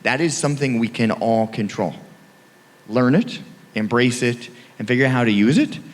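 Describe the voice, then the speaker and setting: A man's voice: medium pitch, animated. Emphatic man speaking in a reverberant hall. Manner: emphatic, punchy, speeds up and slows down for stress. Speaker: panelist at an industry conference. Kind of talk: conference panel answer. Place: conference hall.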